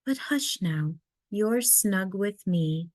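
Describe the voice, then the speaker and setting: Mother polar bear, played by a woman reader, storybook character, gentle, reassuring, soothing, slow, dry sound. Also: medium pitch, lively intonation, no echo. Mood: hushed, soothing. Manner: soothing, unhurried.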